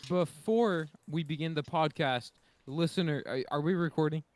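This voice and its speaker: A man with a fairly high voice talks as a podcast co-host. He keeps it casual and conversational. The recording is close and dry. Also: moderate pace, darkish tone, dry recording. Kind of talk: casual group podcast chat.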